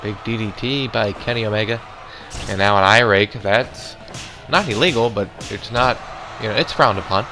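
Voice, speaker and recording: A man doing hoarse, excitable play-by-play commentary on a wrestling match, calling moves and near-falls. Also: lowish voice, moderate pace, music in the background, low-quality recording. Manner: excitable, calls moves and counts.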